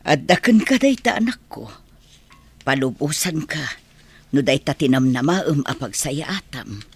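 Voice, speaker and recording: A woman with an Ilocano accent playing an anxious, tender village mother in a radio drama, with a husky voice. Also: deep voice, big pitch swings, a little slow, full character voice. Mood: tender, resigned. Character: elderly village mother, tender, anxious for her departing son. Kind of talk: Filipino radio drama acting.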